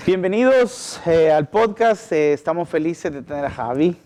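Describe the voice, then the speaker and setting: A man's voice: a little high, animated, mellow, a little hiss or hum. Playful male podcast co-host. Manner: jokey, playful, quick to laugh. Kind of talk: casual podcast chat and prayer.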